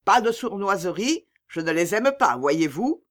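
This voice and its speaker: A woman's voice: medium pitch, sing-song, a little slow, pitched above the performer's own voice. A woman voicing a callous, bossy male slave trader in an audiobook, curt and commanding with a false joviality. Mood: falsely jovial.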